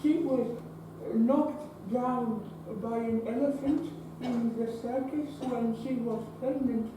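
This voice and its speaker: A teen actor playing a gentle, deformed young man who is shy and wondering, speaking in a slow, halting, childlike way on a theatre stage. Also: fairly high pitch, steady tone. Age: teens. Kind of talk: teen stage play performance. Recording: echoey stage from far off, noisy.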